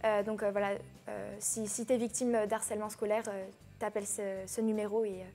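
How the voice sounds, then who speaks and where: A woman's voice: medium pitch, level tone, fairly quick, mellow, soft background music. A teenage girl being interviewed about her songs, hesitant, with many fillers and restarts. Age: teens. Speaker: guest singer. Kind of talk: casual interview.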